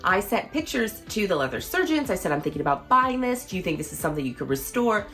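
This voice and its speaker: A woman in her thirties, a fashion vlogger, chatting in a casual, enthusiastic way, her voice a little hoarse as she gets over losing it. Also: medium pitch, sing-song, quick pace, a bit bright, faint music behind. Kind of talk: casual product review vlog.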